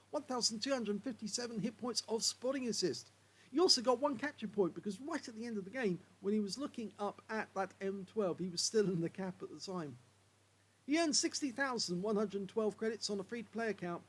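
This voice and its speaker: A hoarse man commentating on game replays in a chatty way, filling pauses with uh and um. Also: sits high.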